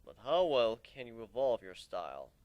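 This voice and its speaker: A man voicing a calm beast swordmaster in a story reading, measured and gently teasing. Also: medium pitch, no echo, muffled recording, voice pushed down, natural voice. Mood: probing, calm. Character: calm male furred beast swordmaster with a katana.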